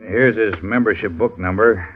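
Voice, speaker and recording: An actor playing an easygoing union clerk with a casual, hesitant, chatty way of talking in a 1950s radio drama. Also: male voice, on the low side, normal speed, husky. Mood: helpful. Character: easygoing, folksy male seamen's union clerk. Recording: muffled old radio recording.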